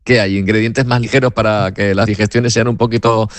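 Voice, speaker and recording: A man's voice: on the low side, fairly even intonation, brisk, dry recording. A man hosting a radio interview, asking questions in a conversational way. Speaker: radio host.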